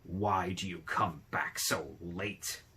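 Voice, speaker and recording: A man voicing a cross, greedy old woman from a fairy tale, scolding loudly in drawn-out words. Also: sits low, fairly even intonation, breathy edge, heavily acted. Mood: cross, demanding.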